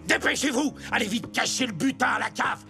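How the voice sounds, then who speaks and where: An irritable, scheming cartoon nobleman, haughty with his underlings. The voice sits high for a man and creaks as it swings about, over background music. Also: expressive, fairly quick, exaggerated voice. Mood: exasperated. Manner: haughty, exasperated. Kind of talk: French cartoon dub acting.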